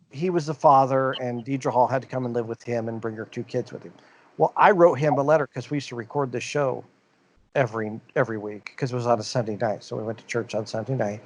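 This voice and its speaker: A middle-aged man co-hosting a chatty podcast, swinging between loud bursts and low mumbles. The sound is dry and close, with no room in it. His pitch sits mid-range. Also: no room sound, dull audio. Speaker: podcast co-host. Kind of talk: casual chat.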